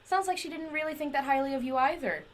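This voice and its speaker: A woman's voice: sits high, fast talker, a little hiss or hum, natural voice. A woman playing a chatty, eccentric inventor in an audio drama, rambling eagerly and wheedling to justify herself. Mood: nervous persuasion. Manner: rambling, wheedling, talks to a silent companion.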